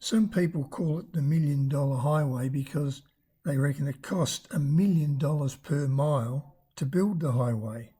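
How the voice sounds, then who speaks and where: An older travel vlogger with a raspy voice, narrating a road trip in a chatty, unhurried way, pausing now and then to search for words. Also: medium pitch, warm tone. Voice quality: raspy from damaged vocal cords.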